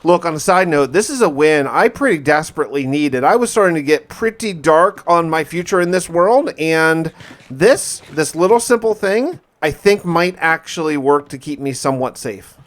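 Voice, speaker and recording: A man with a slightly high voice narrates a video game as a survival diary. His delivery is theatrical and emphatic, and he stretches words out for drama. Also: lively intonation, moderate pace. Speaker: gaming video host.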